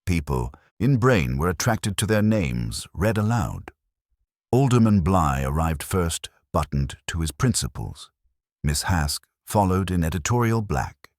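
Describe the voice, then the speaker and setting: A British man reading literary mystery narration in a measured, formal, dryly wry manner. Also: deep voice, sing-song, dry recording, clean studio sound. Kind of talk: literary audiobook-style scripted narration of a mystery story.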